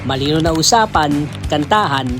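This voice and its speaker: A Filipino narrator delivers a scripted promotional story. The pitch sits in a middle range, and music plays clearly behind the words. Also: normal speed.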